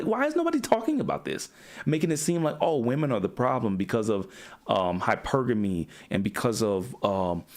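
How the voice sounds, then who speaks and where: A man with a fairly low voice talks straight to the camera as a coach for young men. He is blunt and preachy, and he swears freely as he lectures. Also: darkish tone. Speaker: men's coach. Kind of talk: direct-to-camera motivational talk.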